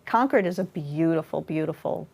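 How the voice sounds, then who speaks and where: A middle-aged woman chatting as a guest in a local studio interview. Her voice sits low and has a gravelly, creaky texture. She rambles warmly with plenty of fillers and restarts. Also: expressive, moderate pace. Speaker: author and guest. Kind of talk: casual interview chat.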